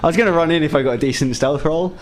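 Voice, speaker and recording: A man's voice: fairly high pitch, racing, slight background noise. A man playing a tabletop game, chatting casually about his moves.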